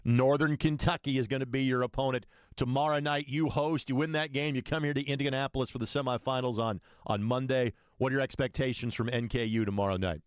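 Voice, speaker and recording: A man's voice: medium pitch, fairly even intonation, quick pace, hardly any pauses, smooth, dry recording, studio quality. A man hosting a sports radio show, interviewing a guest in a conversational way.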